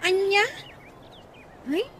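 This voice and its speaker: An actress as a high-voiced, sociable young woman. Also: extremely slow, fairly bright tone, a little hiss or hum, heavily acted. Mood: surprised, indignant. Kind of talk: radio drama acting.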